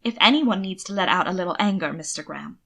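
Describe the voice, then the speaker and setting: A woman's voice: fairly low pitch, lively intonation, fast, vocal fry, fairly bright tone, full character voice. A woman voicing a loyal, tactful, good-humoured male valet in a storybook reading. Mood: amused, reassuring.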